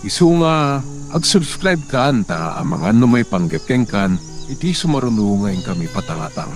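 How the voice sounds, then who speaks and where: A man's voice: medium pitch, very animated, normal speed, faint music behind. A man hosting a radio drama, delivering scripted promotional narration to listeners. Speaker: drama narrator and channel host.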